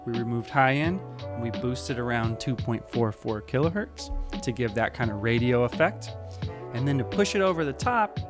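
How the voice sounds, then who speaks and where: A man narrating a casual how-to tutorial, explaining things step by step in an informal way. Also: mid-range voice. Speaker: audio tutorial presenter.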